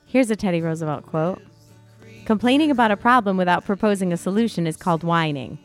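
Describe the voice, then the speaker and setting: A woman's voice: lowish voice, quick pace, soft background music. A woman co-hosting a podcast in casual, chatty banter, with an informal, exclamatory way of talking. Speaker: podcast co-host.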